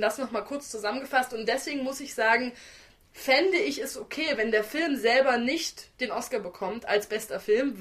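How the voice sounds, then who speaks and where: A woman with a notably high voice discusses films as a podcast co-host. She is opinionated and emphatic, speaking in casual, colloquial language like a critic among colleagues. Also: fairly bright tone.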